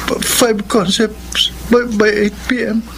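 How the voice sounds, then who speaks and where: High-pitched, stammering, timid young employee. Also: male voice, flat delivery, slow, gravelly, heavy background noise, exaggerated voice. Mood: nervous, deferential. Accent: Filipino. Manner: stammering, deferential, hesitant. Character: timid, anxious young film story writer, eager to please. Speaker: radio drama actor. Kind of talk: Filipino radio drama acting.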